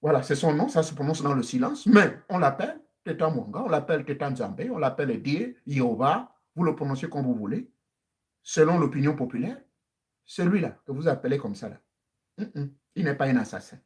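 A man speaks as a traditional spiritual teacher addressing followers online. His delivery is emphatic and repetitive, climbing high when he insists and sinking to a low murmur when he confides. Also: very animated, brisk. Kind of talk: preachy monologue to online followers.

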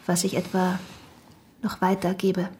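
An actress playing a frank, practical young shopkeeper's daughter in a German radio drama, earnest and admonishing. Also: female voice, lowish voice, monotone, a little slow, darkish tone, slight background noise, lightly acted. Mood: brisk, concerned.

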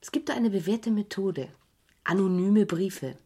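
A woman's voice: mid-range voice, a little slow, dark tone, husky. An actress playing a cool, scheming young woman, a commissioner's daughter, in a vintage German radio crime drama. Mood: sly, conspiratorial.

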